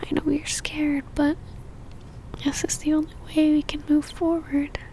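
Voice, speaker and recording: A woman playing a possessive, lovesick female vampire in an ASMR roleplay, whispering breathily in a halting, pleading and tender way. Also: high voice, level tone, dark tone, slight background noise.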